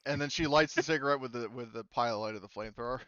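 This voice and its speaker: A man with a smooth, clear voice narrates as game master of a tabletop game, rambling with plenty of fillers and restarts. The sound is dry and a touch dull, like a home mic with the highs rolled off. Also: rapid-fire, fairly bright tone, no echo.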